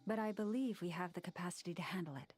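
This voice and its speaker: A woman's voice: on the low side, fast, darkish tone, husky, faint music behind. A woman playing a solemn, formal female warrior in fantasy video game dialogue. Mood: grave, urgent.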